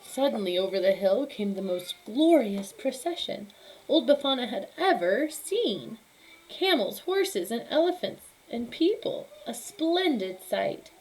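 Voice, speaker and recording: A woman reading a picture book aloud to children in an animated, emphatic storytelling manner. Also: on the high side, a little slow, poor audio.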